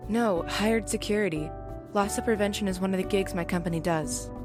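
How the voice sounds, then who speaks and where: A woman's voice: medium pitch, fairly quick, natural voice. A woman acting a tough, cynical security guard in an audio drama, blunt, serious and protective. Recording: music behind the voice. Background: background music.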